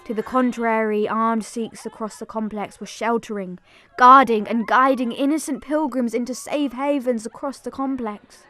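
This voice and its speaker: A woman's voice: on the high side, fairly even intonation, moderate pace, bright, forceful tone. A woman playing an earnest young pilgrim who narrates a family tragedy in a scripted audio drama.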